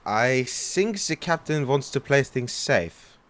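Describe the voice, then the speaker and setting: A man's voice: mid-range voice, animated, takes it slowly, a bit bright. A man playing a nervous, good-natured pirate deckhand in an audio drama, speaking hesitantly and deferentially. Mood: casual, earnest.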